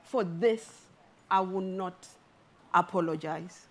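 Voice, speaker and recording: A middle-aged woman from Zimbabwe, an artist giving a talk with a spoken-word poem, delivered in a slow, rhythmic, measured way. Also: on the low side. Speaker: artist and speaker.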